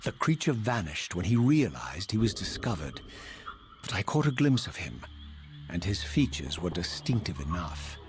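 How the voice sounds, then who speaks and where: A man's voice: low-pitched, big pitch swings, normal speed. A man playing a proud, vengeful undead wraith, narrating in grave, formal, theatrical diction for a video game. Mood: grave, resolute. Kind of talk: dramatic scripted game narration. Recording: music behind the voice.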